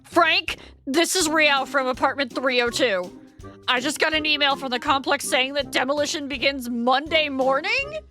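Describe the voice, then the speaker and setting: A woman acts out a dramatic young female tenant, exasperated and emphatic. The voice is high and very bright, pushing into indignation, with faint music underneath. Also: measured pace. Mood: alarmed.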